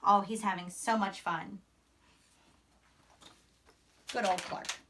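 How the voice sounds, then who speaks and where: A woman's voice: medium pitch, fairly even intonation, moderate pace, a bit bright, slight background noise. A young woman teacher reading aloud to children expressively, with chatty asides, in a classroom. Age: young adult. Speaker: primary school teacher. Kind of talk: animated children's read-aloud. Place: small indoor classroom.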